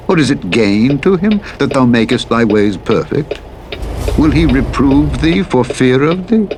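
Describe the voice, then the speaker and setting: A man giving a solemn, deliberate scripture reading in short phrases, as an audiobook narrator. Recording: played over loud truck engine rumble. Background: loud vehicle noise from a truck driving game.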